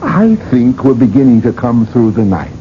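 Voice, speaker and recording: An actor in a radio drama playing an assured research doctor with deliberate, declamatory delivery. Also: male voice, medium pitch, big pitch swings, natural voice. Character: assured male research doctor, intent and confident. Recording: dull, slightly noisy old radio broadcast.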